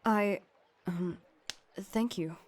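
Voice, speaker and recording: A woman in a fandub playing a shy, reserved schoolgirl who speaks quietly and hesitantly. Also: medium pitch, very slow, distant mic. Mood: shy, awkward. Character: shy, reserved top student girl, Al. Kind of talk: fandub voice acting.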